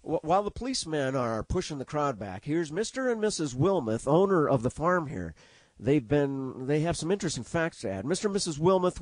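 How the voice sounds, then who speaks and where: A man playing an excitable, courteous 1930s radio news reporter with formal announcer diction that gets flustered. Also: on the high side, no echo. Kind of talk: 1930s radio drama acting.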